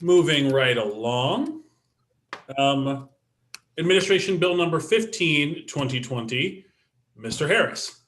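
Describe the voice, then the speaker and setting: A man chairing a city council meeting, moving briskly through the agenda with light asides. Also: a little high, expressive, a bit bright, slight background noise.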